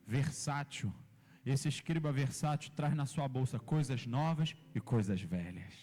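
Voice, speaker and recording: A man preaching a sermon, telling stories and imitating sounds, rising to loud emphasis. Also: medium pitch, in a large hall. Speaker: pastor. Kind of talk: sermon with storytelling. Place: church hall.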